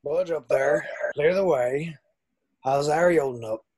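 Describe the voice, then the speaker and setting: Big kindly gamekeeper, played by a man: rough, husky, gruff and folksy, mid-pitched. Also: a little slow, darkish tone. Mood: gruff concern. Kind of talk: screenplay table read.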